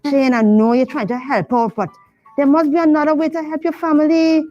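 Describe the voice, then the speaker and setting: A woman's voice: high voice, steady tone, fairly quick, warm tone, lightly acted. A woman voicing a young schoolboy puppet who earnestly pleads with his friend. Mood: pleading. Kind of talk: puppet show acting. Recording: dull lo-fi sound with faint music.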